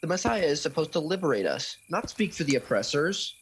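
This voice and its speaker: A man's voice: fairly high pitch, very animated, a little fast, faint music behind. An actor playing a frustrated, wavering male disciple, speaking earnestly and indignantly in a radio play. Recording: faintly noisy home video-call recording.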